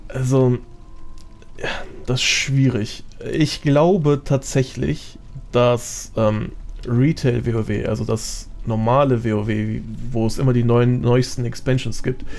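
A man's voice: mid-range voice, gravelly, darkish tone. A man giving his opinions as a guest in a casual podcast chat. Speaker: podcast guest, gaming content creator.

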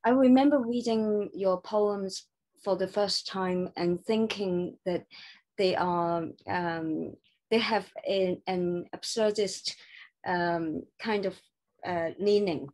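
A woman moderates an online panel discussion, speaking slowly and haltingly. She gropes through her questions with frequent fillers. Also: medium pitch, darkish tone, low-quality recording.

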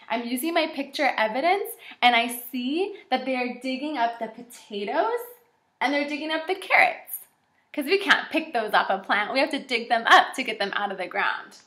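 Bright, high-voiced young woman teaching children. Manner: encouraging, animated, addressing young children. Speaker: kindergarten teacher. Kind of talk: children's read-aloud lesson. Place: small indoor room with shelves and whiteboard. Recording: echoey room.

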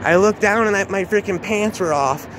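A man with a high voice improvises a dark first-person story. He sounds agitated, repeats himself and swears in casual slang. The recording is noisy, made outdoors. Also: moderate pace. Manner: agitated, repetitive, profane, slangy.

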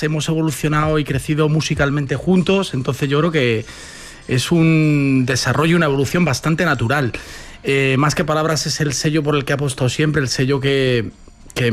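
Rapero madrileño hablando relajado. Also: male voice, mid-range voice. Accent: Madrid Spanish. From Spain. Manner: relaxed, slangy, many fillers like "¿no?" and "tío". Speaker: rapper guest. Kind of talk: casual interview answers.

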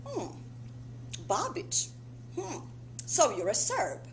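A woman's voice: sits high, huge pitch swings, slow, voice pushed up, exaggerated voice. A woman voicing a hostile, suspicious female government clerk, curt and accusing, in a stage monologue. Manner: curt, accusing, shouting orders. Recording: noisy theatre camera recording with hum.

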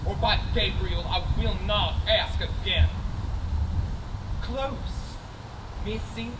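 A man's voice: high-pitched, slow. Stage villain prince, played by a young man; bullying, mocking, drawled lines; distant stage recording with background noise. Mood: threatening. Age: young adult. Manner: sneering, threatening, bursts into shouts and mocking laughs. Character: arrogant spoiled young prince, vengeful and bullying. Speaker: stage actor. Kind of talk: live stage Shakespeare acting. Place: large theater stage. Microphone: distant room mic.

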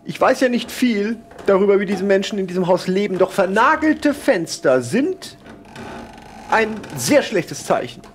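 A man voicing an excitable, formal gentleman investigator in an improvised horror audio drama, polite and theatrical. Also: high-pitched, unhurried, faint music behind. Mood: bemused, pondering.

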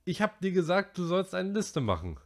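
A teenage boy leader speaks sternly, with a gravelly edge, as a man reads him. He is firm but still unsure of himself, and his pitch sits higher than an adult's. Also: sing-song, normal speed.

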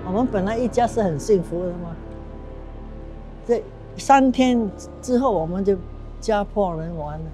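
A woman's voice: medium pitch, normal speed. Woman in her eighties recounting hardship. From Singapore. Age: 80s. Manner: emotional, earnest storytelling. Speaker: war survivor interviewee. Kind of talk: documentary interview. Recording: clear music bed underneath.

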